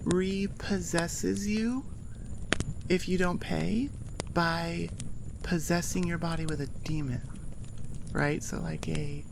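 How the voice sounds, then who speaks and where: A woman's voice: fairly low pitch, steady tone, slow, gravelly, pauses often, background noise. A woman audio creator chatting casually to her listeners in an informal, self-deprecating, rambling way. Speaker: ASMR audio creator and host.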